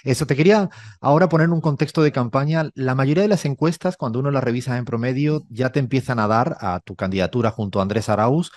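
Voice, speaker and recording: Andalusian male interviewer, mid-pitched, warm and conversational, dry close sound. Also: fast talker, dry recording. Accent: Andalusian Spanish. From Andalusia, Spain. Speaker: interviewer, host. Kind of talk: radio interview questions.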